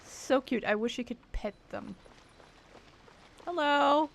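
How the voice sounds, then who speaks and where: A woman's voice: sits high, slow, creaky, a little hiss or hum. A woman streamer giving casual, reactive game commentary with wry, sarcastic asides. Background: game music.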